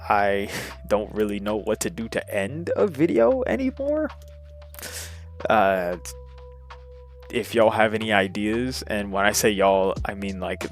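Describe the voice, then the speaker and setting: A man's voice: fairly low pitch, fairly bright tone. A man in his twenties rambling casually and hesitantly into an old webcam, with a self-deprecating manner. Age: 20s. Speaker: YouTuber.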